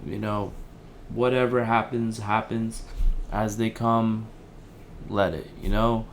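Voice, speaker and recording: Low, slow-talking male helpline worker played by an actor. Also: level tone, a little hiss or hum. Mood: easygoing, hesitant. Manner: hesitant, placating, casual. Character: gentle, awkward, fatalistic male helpline worker. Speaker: helpline operator. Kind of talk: conversational audio drama acting.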